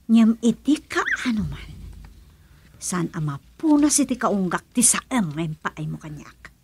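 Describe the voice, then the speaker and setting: A woman's voice: mid-range voice, a little hiss or hum. A woman with a Filipino accent playing a bitter, wounded woman in an Ilocano radio drama, anguished and reproachful. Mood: angry anguish.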